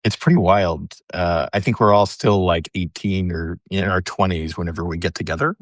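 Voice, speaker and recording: Low-voiced host with dry sound. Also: male voice, normal speed, no echo. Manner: relaxed, chatty questions. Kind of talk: casual interview chat. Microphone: video call.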